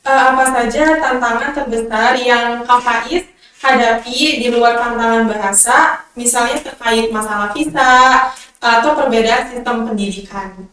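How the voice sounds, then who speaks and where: A woman's voice: a little high, unhurried, sharp tone. A woman with an Indonesian accent hosting an interview, politely reading prepared questions. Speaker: interviewer host. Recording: echoey, far from the mic.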